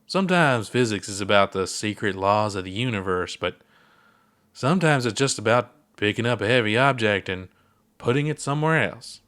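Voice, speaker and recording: A man's voice: on the low side, lively intonation, normal speed, fairly bright tone. A man reading aloud, voicing an older, laid-back alien space explorer who talks in an easygoing, unbothered way. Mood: relaxed.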